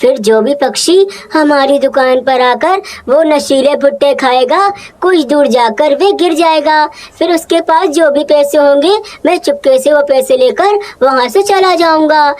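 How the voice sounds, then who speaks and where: A woman's voice: high voice, a little slow, hardly any pauses, darkish tone. A woman voicing a sly male bird in a children's cartoon, coaxing and scheming.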